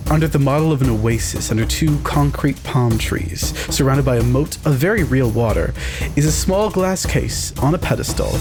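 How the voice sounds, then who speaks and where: Guy narrating a tabletop game, midrange voice, deliberate descriptive phrases, music clearly behind him. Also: mid-range voice. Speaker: tabletop game player, storyteller.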